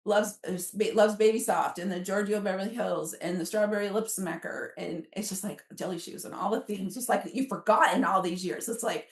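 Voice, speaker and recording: A woman's voice: mid-range voice, fairly quick, hardly any pauses. An American woman in her fifties telling personal stories as an interview guest in an effusive, conversational way. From Alaska, United States. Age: 50s. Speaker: podcast guest. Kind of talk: casual interview storytelling. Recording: roomy, echoey sound.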